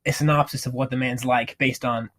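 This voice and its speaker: A teenage boy hosting a casual podcast, animated and playfully teasing, talking in quick bursts. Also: medium pitch. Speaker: podcast host. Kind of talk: casual chat. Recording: amateur home podcast mic.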